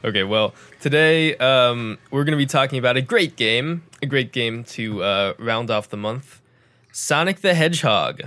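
A young man hosting a casual podcast, talking with energetic emphasis and dry sarcasm. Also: mid-range voice, moderate pace. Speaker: podcast host.

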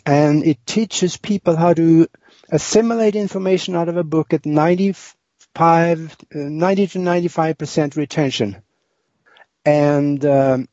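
A man's voice: on the high side, mellow, no echo. An older man from Norway with a Norwegian accent, telling his life story as an interview guest, rambling with many ums and uhs. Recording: dull lo-fi sound.

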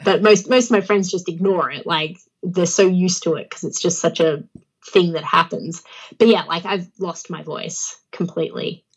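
A young woman with an Australian accent talks freely in a casual interview. Her voice sits a little low, with a phlegmy catch in the throat, and she laughs often between filler-heavy thoughts. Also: normal speed, breathy edge, rough recording. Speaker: guest, pro wrestler.

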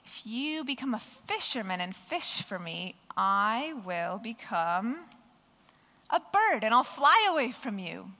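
A hoarse woman voicing a playful little boy bunny from a storybook, in a sing-song read-aloud voice. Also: a little high, very animated, slow pace, sharp tone, long reverb tail, heavily acted. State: croaky, hoarse voice. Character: runaway little male bunny, playful child.

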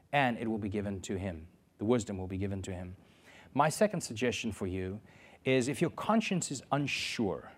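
A man's voice: on the low side, a little mellow. Man preaching. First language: Afrikaans. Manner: earnest, repeats key phrases for emphasis. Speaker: pastor. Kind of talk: sermon.

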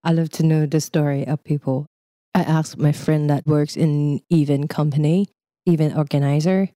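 A woman's voice: sits low, steady tone, normal speed, warm tone, dry recording, clean studio sound. A young Thai woman with a Thai accent, speaking English as a second language in a casual, chatty interview and laughing often. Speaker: guest.

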